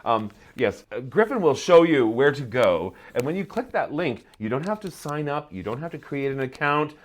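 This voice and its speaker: A man's voice: mid-range voice, big pitch swings, normal speed. A man speaks in a casual interview style as a guest. His intonation moves around a great deal, and he likes to exaggerate playfully while explaining things about his creative work. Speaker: podcast guest, playwright and performer. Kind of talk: casual, animated interview chat.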